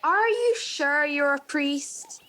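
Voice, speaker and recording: An earnest field reporter in a mock news comedy, acted by a woman. She has a high voice and a slow, measured pace, polite but probing. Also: expressive, sharp tone, full character voice. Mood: suspicious. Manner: polite, inquisitive.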